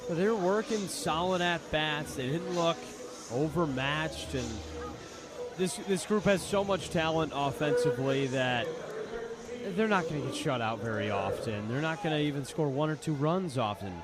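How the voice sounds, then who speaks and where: A man's voice: on the high side, normal speed. A man working as color commentator on a live baseball radio broadcast, explaining the game in a reflective, conversational way. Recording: live ballpark broadcast with clear background noise. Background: outdoor ballpark ambience.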